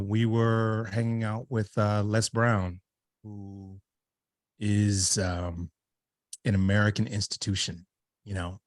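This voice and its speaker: A man speaking as a guest on a video call, with a raspy voice and a measured delivery. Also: on the low side, no room sound, studio quality. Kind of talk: casual talk.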